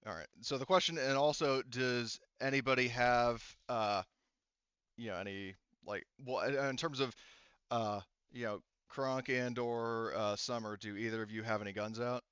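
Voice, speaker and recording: Male game master, smooth tone, casual halting narration, lo-fi online call sound. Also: mid-range voice, steady tone, a bit bright, dry recording. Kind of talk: improvised tabletop game narration. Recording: dull lo-fi voice-chat audio.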